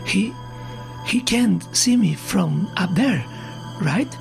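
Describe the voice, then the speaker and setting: A man's voice: high-pitched, lively intonation, slow, vocal fry, a little mellow, faint music behind, pitched above the performer's own voice, exaggerated voice. A man playing an anxious, sleepless, obsessed man in a horror story, agitated and pleading.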